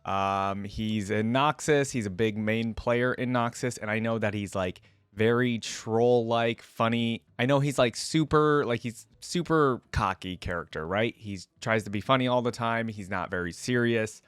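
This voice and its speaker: A man's voice: medium pitch, measured pace, few pauses, clean tone, no echo. A man doing casual reaction commentary, reading text aloud and adding his own remarks. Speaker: host of a gaming reaction channel.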